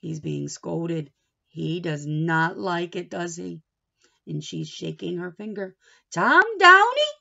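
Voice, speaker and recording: A woman's voice: on the low side, little pitch movement, slow pace, creaky, dull audio. A woman reading a story aloud to young children like a teacher, expressively, with asides and questions left open for answers.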